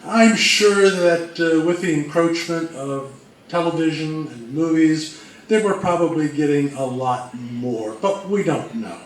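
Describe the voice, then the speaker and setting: A man co-presenting a measured, informational talk. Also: on the high side. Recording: very echoey, distant mic, faint noise.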